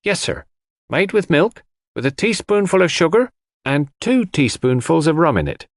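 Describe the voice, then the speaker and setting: A man's voice: a little high, very animated, moderate pace, no room sound, clean studio sound, voice pushed up. A man voicing a young housemaid, a deferential, eager and easily flustered servant in an audiobook mystery.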